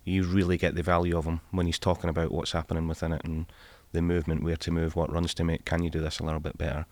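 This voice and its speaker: A man's voice: sits low, monotone, quick pace, dry recording. A middle-aged man talking casually about football as a podcast panellist. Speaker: podcast panellist, former coach.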